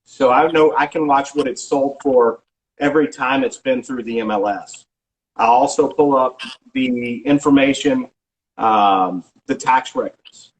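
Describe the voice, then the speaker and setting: A middle-aged man working as a real estate agent, answering interview questions in a casual, halting way with frequent restarts and filler sounds. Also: mid-range voice, poor audio. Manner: halting, many restarts and ums.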